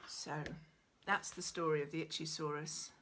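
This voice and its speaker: A woman in her forties narrating her children's picture book in a dramatic, deliberate, expressive style, indoors at home. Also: sits low, a little hiss or hum. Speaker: author reading own picture book. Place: small cluttered room at home, indoors.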